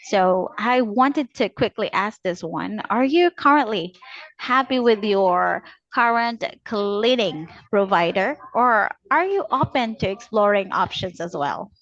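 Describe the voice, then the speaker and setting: A woman working as a telemarketing agent, politely delivering a scripted cold-call sales pitch and addressing the customer formally. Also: expressive, measured pace, a bit bright, dry recording, dull audio.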